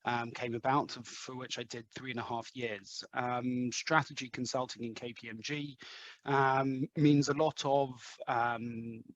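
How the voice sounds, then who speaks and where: A man's voice: medium pitch, little pitch movement, a little slow, muffled recording. A man from London with a London English accent, a podcast guest talking through his career with many ums and restarts. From London, England. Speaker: podcast guest, head of sales. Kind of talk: conversational interview answers.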